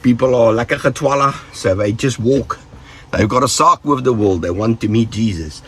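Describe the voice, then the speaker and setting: A man's voice: mid-range voice, moderate pace, a bit bright, a little hiss or hum. A South African man with the flu, giving casual, joking safety tips to his viewers and rambling off with trailing asides. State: sick with flu, rough voice. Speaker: vlogger.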